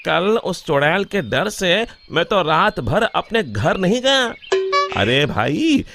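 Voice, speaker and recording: A man playing an excitable, plaintive village sweet-seller in a children's cartoon story. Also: high-pitched, slow pace, creaky, soft background music, exaggerated voice. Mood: aggrieved. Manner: animated, plaintive.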